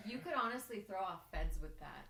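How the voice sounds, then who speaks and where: A woman's voice: mid-range voice, animated, fairly quick, gravelly, sharp tone. Teasing woman in an echoey room. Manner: teasing, amused. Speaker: co-host, host's wife. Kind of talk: casual chat. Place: home.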